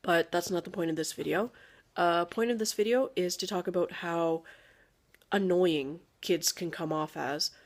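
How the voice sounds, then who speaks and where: A woman's voice: on the low side, moderate pace. Chatty woman vlogging about parenting. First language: not English. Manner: chatty and rambling, many fillers and asides. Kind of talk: casual talk to camera.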